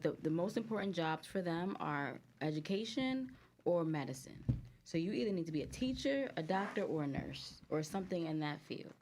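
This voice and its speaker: A woman's voice: fairly low pitch, a little mellow, slight background noise. A woman with a Guyanese accent, a guest entrepreneur in a chatty, candid conversation. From United States, Guyanese family. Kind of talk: casual chat over cocktails.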